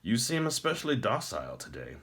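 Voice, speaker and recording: A man voicing a falsely friendly, taunting dungeon jailer in a fantasy audiobook, with a warm, mockingly courteous delivery. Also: medium pitch, huge pitch swings, a little fast, husky, voice pushed up, heavily acted.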